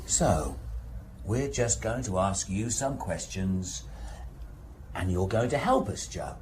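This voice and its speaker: An actor playing a police inspector in a radio drama, questioning with false patience in a deliberate, repetitive, probing way. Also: male voice, sits low, sing-song, noisy background, exaggerated voice. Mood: coaxing, insistent. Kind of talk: naturalistic radio drama acting.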